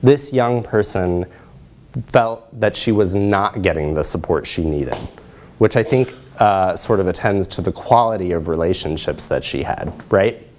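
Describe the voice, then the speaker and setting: A man in his thirties giving a research presentation in a lecture hall, explaining in a measured way. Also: low voice, lively intonation, darkish tone, a little hiss or hum.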